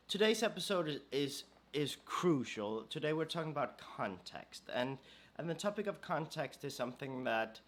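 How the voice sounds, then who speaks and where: Hoarse man hosting a podcast. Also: medium pitch, fairly bright tone. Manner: hesitant, many fillers and restarts. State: hoarse from a cold. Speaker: podcast host. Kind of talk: casual podcast talk.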